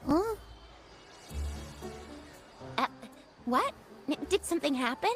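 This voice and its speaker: A woman's voice: sits high, very animated, takes it slowly, fairly bright tone, exaggerated voice. A woman playing a perky, teasing and candid young companion in a video game. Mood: curious. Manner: teasing, blunt. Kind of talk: video game character acting. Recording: background music under the voice.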